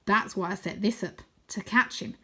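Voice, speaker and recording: A woman reading aloud puts on an excitable boy, half wizard and half ninja, from a children's story. He is boastful and chummy, and he delivers everything with theatrical relish. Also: medium pitch, moderate pace, slightly rough. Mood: confiding, urgent. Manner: dramatic, switching between shouts and whispers.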